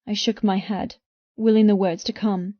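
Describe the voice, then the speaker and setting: A woman's voice: mid-range voice, flat delivery, normal speed, no room sound, lo-fi sound. Dry, intense woman narrating romance. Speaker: audiobook narrator. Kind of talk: first-person dramatic romance narration.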